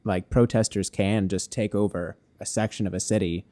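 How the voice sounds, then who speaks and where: Low-voiced man chatting. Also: fairly even intonation, moderate pace, dark tone. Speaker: podcast co-host. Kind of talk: casual chat.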